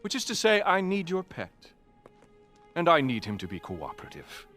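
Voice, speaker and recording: An actor playing a scheming, commanding industrialist villain with a theatrical, imperious delivery in a video game cutscene. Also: male voice, fairly high pitch, huge pitch swings, moderate pace, pushed and bright, soft background music, full character voice. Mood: smug, demanding.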